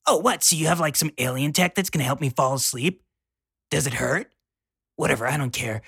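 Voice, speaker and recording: A woman voicing a flippant, sassy teenage girl with a husky voice. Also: deep voice, a little fast, fairly bright tone, dry recording, studio quality, voice pushed up, exaggerated voice. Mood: dismissive.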